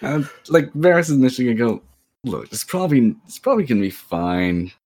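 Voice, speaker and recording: A man's voice: mid-range voice, quick pace. A man playing a tabletop role-playing game, joking and slipping in and out of his character. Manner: joking, animated, slips in and out of character. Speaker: player. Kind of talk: casual tabletop role-play.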